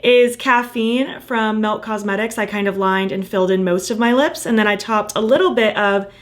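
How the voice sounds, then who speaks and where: A woman chatting casually through a makeup tutorial, gushing about the colors and murmuring softly while she applies them, her voice a little croaky from losing it. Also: mid-range voice, normal speed. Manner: gushing, enthusiastic, soft murmurs while applying. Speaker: makeup vlogger.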